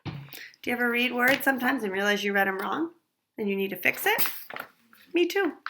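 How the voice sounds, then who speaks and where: A woman reading a picture book aloud to children, expressive and pausing to ask them questions. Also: a little high, noisy background.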